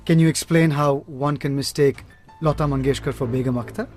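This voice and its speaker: An actor playing an earnest, sharp-minded detective in a radio drama, protective and articulate, with a probing manner. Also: male voice, mid-range voice, steady tone, a little fast, faint music behind, natural voice.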